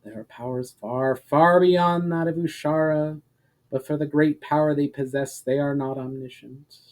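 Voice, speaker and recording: A man voicing a wise, mysterious woman guide in a fantasy story, explaining in a formal, measured manner. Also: on the high side, fairly even intonation, close to an everyday voice. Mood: explanatory. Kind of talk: fantasy audiobook dialogue.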